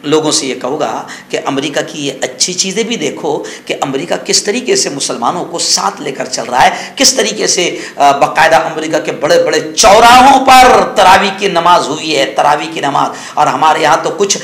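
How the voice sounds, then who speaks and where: High, bright-voiced male cleric, echoey. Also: normal speed, hardly any pauses. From India. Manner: exhortative, scolding, conversational with rhetorical questions and repetition. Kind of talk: informal sermon-like news commentary.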